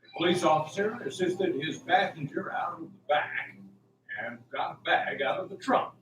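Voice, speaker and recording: A man's voice: fairly high pitch, moderate pace, fairly bright tone. A man reading scripted narration for an amateur radio drama. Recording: echoey room, distant mic, faint hiss.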